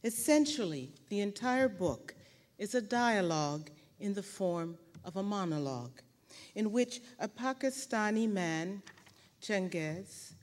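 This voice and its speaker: A woman's voice: mid-range voice, a little mellow. Formal woman presenter in a hall. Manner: formal, measured, literary. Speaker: award presenter. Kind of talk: reading a prepared presentation speech. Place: large hall at an award ceremony. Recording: echoey hall reverb.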